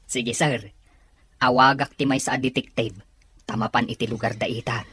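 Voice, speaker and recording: A woman's voice: a bass voice, fairly even intonation, moderate pace, a bit bright, slightly rough, no echo, exaggerated voice. A woman playing a chatty, obliging office underling in a serial drama, over music. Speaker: actor in a Filipino serial drama. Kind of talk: acted drama dialogue. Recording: music behind the voice.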